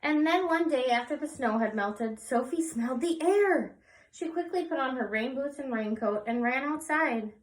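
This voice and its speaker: A woman reading a picture book aloud to young children in an expressive storytelling way, pausing for little asides. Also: high voice, poor audio. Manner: slow, animated, pausing for asides. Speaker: children's read-aloud presenter.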